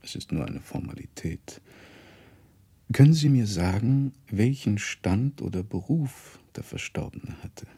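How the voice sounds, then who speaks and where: An actor playing a learned male professor who narrates a memory drily and in measured phrases for a radio drama, with a husky, creaky voice. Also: lowish voice, animated, mellow, heavily acted. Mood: dry, reminiscing. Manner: measured, dry, quoting others as he goes.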